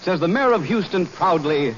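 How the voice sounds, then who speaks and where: A male announcer reading a 1940s radio commercial in a brisk, persuasive way. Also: high-pitched, animated. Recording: dull 1940s radio broadcast, faint hiss.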